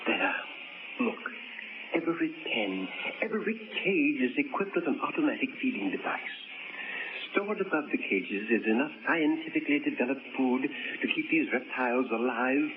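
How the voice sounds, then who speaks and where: Man doing zealous old visionary, grandiose and ominous, swooping pitch, tinny noisy radio sound. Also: lightly acted. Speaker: eccentric old man planning to die. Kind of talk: 1940s radio acting.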